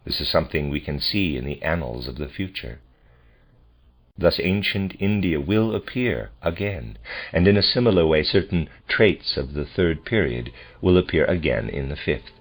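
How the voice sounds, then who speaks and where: A man reading a translated lecture aloud like an audiobook, with measured, formal and deliberate phrasing. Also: very deep voice, expressive. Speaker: lecture reader. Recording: dull lo-fi recording with music behind. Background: music.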